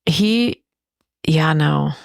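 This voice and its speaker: Deep-voiced woman, easygoing and gravelly. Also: expressive, extremely slow, no room sound, clean studio sound. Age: 30s. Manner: easygoing, agreeable, frequent yeahs. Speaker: podcast co-host. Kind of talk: casual chat.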